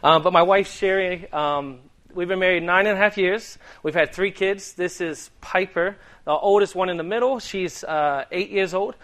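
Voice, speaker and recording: A man's voice: high-pitched, normal speed, hardly any pauses, fairly bright tone. Boston-accented young preacher man. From Delaware, US. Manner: earnest, conversational with many ums. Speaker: guest preacher. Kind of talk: sermon.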